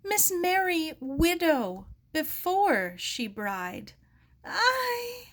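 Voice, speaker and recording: Woman voicing a humble immigrant man, halting. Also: very high voice, very animated, a bit bright, pitched above the performer's own voice, full character voice. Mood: sorrowful, subdued. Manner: broken English, halting and polite. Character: gentle, humble older Japanese immigrant man, sorrowful.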